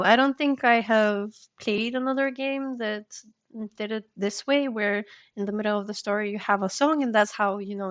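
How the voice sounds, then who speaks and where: A woman's voice: medium pitch, no echo, clean studio sound. A woman hosting a podcast, asking questions in an informal, personal, slightly hesitant way. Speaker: podcast host. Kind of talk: casual interview chat.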